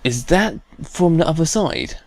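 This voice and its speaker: A man's voice: on the high side, expressive, measured pace, creaky. A man with a British accent giving casual commentary while playing a video game. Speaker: gaming video host. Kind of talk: casual let's play commentary.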